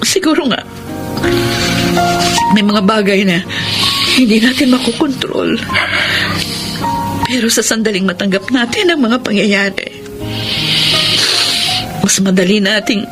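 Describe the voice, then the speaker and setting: Gentle grieving mom in a filipino radio drama, polite n emotional, music behind her. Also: a little high, low-quality recording. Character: grieving, guilt-ridden mother seeking help, earnest and gentle.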